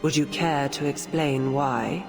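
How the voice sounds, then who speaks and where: An actress playing a stern, skeptical tribunal judge in a game, questioning in a formal, clipped manner. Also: female voice, rumbling low, slow pace, full character voice. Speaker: presiding judge. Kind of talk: dramatic game voice acting. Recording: long hall reverb, music behind.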